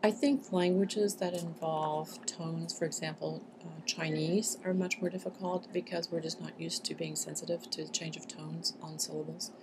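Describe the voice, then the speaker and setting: Low-voiced woman, articulate, over background noise. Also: level tone. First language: English. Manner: articulate and measured. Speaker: interviewee. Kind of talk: interview answers.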